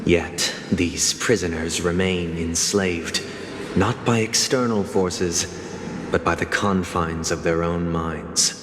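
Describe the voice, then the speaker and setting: An actor playing a solemn narrator of a grand stage play in a game, grave and oratorical. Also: male voice, sits low, steady tone, slow pace, slight background noise. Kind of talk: theatrical scripted narration. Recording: echoing hall reverb, loud music behind.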